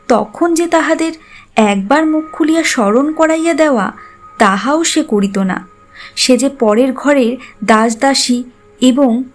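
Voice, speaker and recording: A woman narrator with a high-pitched voice reads literary prose in a scripted storytelling style. Music plays softly behind her. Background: background music.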